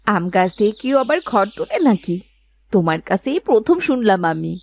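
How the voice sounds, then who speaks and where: Woman playing a lively, questioning village wife. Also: mid-range voice, moderate pace, gravelly. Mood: doubtful, curious. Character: questioning, sharp-tongued village housewife with big hopes. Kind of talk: scripted cartoon dialogue in a Bengali folk tale.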